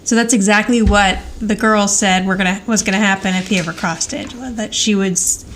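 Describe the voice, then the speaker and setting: A woman's voice: medium pitch, fairly even intonation, brisk, a bit bright, slight background noise. A woman co-hosting a podcast, casually and chattily recapping television. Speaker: podcast co-host.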